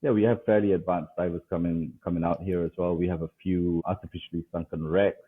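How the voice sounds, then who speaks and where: A man's voice: sits low, steady tone, fast, breathy edge. A man from Malaysia, a diving instructor, answering interview questions casually and hesitantly with many fillers and restarts. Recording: phone-like call audio.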